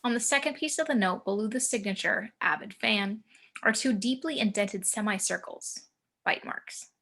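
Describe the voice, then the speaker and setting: A woman narrates a screenplay's action and scene headings. Her voice is very bright and forward, at an average pitch for a woman. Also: moderate pace, gravelly. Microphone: home video call.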